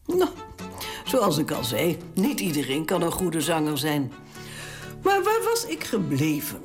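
A woman's voice: low voice, huge pitch swings, unhurried, soft background music, exaggerated voice. A proud old queen. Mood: reminiscing. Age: older. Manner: dignified, emotional exclamations. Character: stubborn elderly queen, proud grandmother. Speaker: narrator and lead in radio drama. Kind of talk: radio drama acting.

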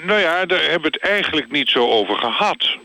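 A man's voice: a little high, animated, a little fast, creaky, pushed and bright, heavily acted, poor audio. A man playing an evasive, bumbling company chairman, hesitant and vague in a mock radio interview.

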